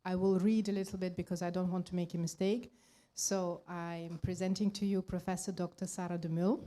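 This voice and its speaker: A woman organizer introducing a conference speaker, partly reading from notes, with a halting delivery and fillers. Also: fairly low pitch, warm tone.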